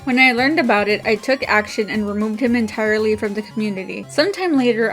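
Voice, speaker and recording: A woman reading a written apology statement aloud. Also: mid-range voice.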